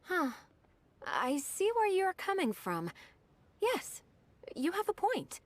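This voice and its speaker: A dutiful young secretary in a game cutscene. She speaks politely and efficiently with a very lively, animated pitch. Her delivery is businesslike and attentive. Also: slow pace, heavily acted. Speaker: game character. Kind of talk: video game voice acting.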